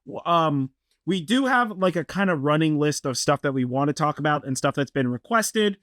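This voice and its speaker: A man co-hosting a podcast in a loose, rambling way, leaning on fillers and restarts. His voice sits a bit high, and the sound is close and dry with no room echo. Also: animated, normal speed, a bit bright. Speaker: podcast co-host. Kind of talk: casual chat.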